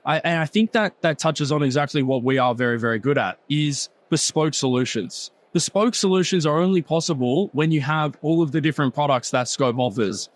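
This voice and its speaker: A man's voice: a little high, a little fast, few pauses, dry recording. Hesitant Australian businessman. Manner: hesitant, many fillers and restarts. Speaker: trading executive. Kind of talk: panel conversation.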